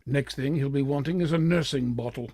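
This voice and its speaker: An actor playing a haughty, stiffly formal waiter in an old-time radio drama, easily indignant. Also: male voice, mid-range voice, fairly quick, dark tone, natural voice. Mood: snooty. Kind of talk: 1940s radio acting.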